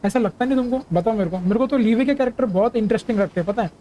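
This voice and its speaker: A man's voice: sits high, very fast, mellow, a little hiss or hum. A man with an Indian accent chatting casually as a game streamer, often putting questions to viewers. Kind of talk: casual gameplay chat.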